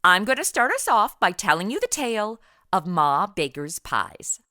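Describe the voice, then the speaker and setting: A woman's voice: mid-range voice, very animated, moderate pace, pushed and bright, dry recording, clean studio sound. A woman hosting and narrating a comedy audio drama in a cheeky, sarcastic, conversational manner. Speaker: comedy podcast host and narrator.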